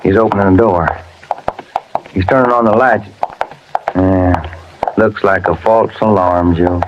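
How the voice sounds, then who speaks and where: An actor playing a plain-spoken, grumbling American police detective's partner in a folksy, conversational 1950s radio drama style. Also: male voice, low voice, fairly even intonation, unhurried, background noise. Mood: uneasy. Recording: dull old radio recording, music behind.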